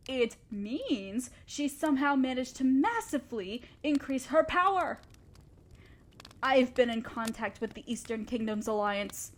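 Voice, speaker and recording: A haughty evil queen from a fantasy audio roleplay, acted out by a woman. Her voice sits on the high side for a woman. She addresses her underling with imperious, theatrical authority, as a ruthless sorceress guarding her empire. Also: close to an everyday voice. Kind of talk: scripted one-sided fantasy audio roleplay.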